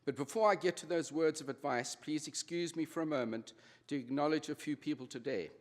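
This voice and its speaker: A man in his sixties with a South African accent, giving a formal convocation address in a measured, slightly nervous way. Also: a little high. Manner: measured, slightly nervous delivery with small restarts. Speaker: honorary degree recipient giving convocation address. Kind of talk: formal ceremony speech. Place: large ceremony hall. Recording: long hall reverb.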